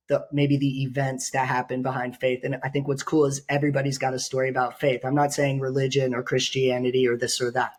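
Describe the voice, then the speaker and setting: A man hosting a podcast, hoarse and raspy from being sick, talking in a rambling, joking way with many fillers. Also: medium pitch, a little mellow. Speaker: podcast host. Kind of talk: casual podcast chat.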